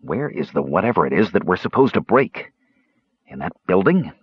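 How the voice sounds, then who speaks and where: A man voicing a gruff, wounded male warrior who speaks in terse growls. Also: low-pitched, sing-song, moderate pace, dry recording, heavily acted. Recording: dull lo-fi dry recording.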